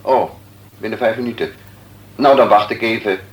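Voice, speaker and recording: An actor playing a calm, kindly family doctor in a Dutch radio play, with a reassuring, businesslike bedside manner. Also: male voice, lowish voice, moderate pace, husky. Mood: brisk. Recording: noisy old radio play recording.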